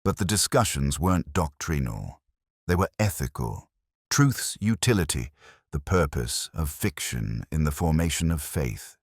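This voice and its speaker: A man performs a solemn detective inspector recounting a mystery in the first person. The voice is exceptionally deep, yet it rises and falls noticeably through deliberate, literary sentences. The sound is close and dry, and the temper stays brooding and measured. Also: big pitch swings, breathy edge, dry recording, full character voice. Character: brooding, measured male detective inspector narrating his case. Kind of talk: first-person scripted mystery narration, audiobook-like.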